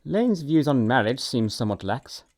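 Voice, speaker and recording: An actor playing a flippant, witty young gentleman of leisure, breezy and arch, with an upper-class English accent. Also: medium pitch, very animated, moderate pace. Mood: lofty. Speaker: actor in an audiobook production. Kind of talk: stage comedy acting.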